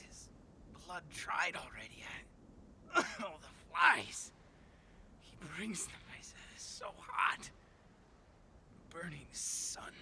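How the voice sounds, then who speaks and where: A performer in a radio drama playing a desperate, injured crash survivor in the desert, halting and repeating words between gasps and whispers. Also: mid-range voice, vocal fry, bright, forceful tone, exaggerated voice. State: in pain, parched, out of breath. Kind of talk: melodramatic radio drama acting.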